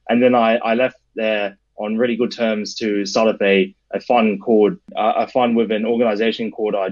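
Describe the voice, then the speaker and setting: A man's voice: on the low side, dull audio. A young man with an Australian accent giving conversational answers as an interview guest. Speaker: interview guest, startup adviser.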